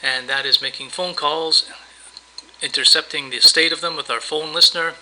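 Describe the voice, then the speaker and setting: Bright, forceful man narrating a software demo. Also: fairly high pitch, steady tone, normal speed, slight background noise, rough recording. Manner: casual, explanatory, step by step. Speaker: software tutorial presenter. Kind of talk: screencast demo narration, semi-improvised walkthrough.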